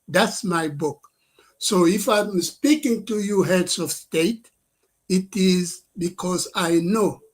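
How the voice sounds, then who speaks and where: An older man whose first language is French gives a slow, deliberate address, repeating his points for emphasis. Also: high voice. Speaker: scholar and activist addressing leaders. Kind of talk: livestream address.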